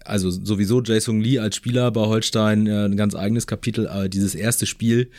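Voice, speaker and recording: Man, lowish voice, dry sound, sports journalist, halting wordy anecdotes, amused casual chat. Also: steady tone, a little mellow, dry recording.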